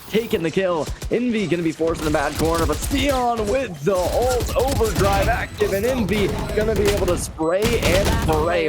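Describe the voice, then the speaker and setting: Male esports play-by-play caster, higher-pitched voice, excitable and dramatic delivery. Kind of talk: live game commentary.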